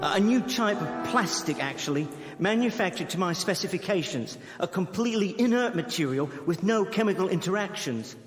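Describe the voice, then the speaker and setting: An actor playing a pompous, coolly confident criminal professor in a radio drama, speaking with precise, pedantic formality. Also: male voice, high-pitched, lively intonation, normal speed, hardly any pauses. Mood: smug. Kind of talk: old-time style radio drama acting. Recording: long hall reverb. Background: faint dramatic music.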